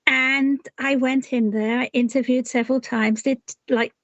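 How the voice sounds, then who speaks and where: Chatty English woman, high voice. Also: steady tone, normal speed, fairly bright tone, dry recording, dull audio. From England. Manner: chatty, rambling with restarts and small laughs. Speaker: author and puzzle writer. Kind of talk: casual interview answers.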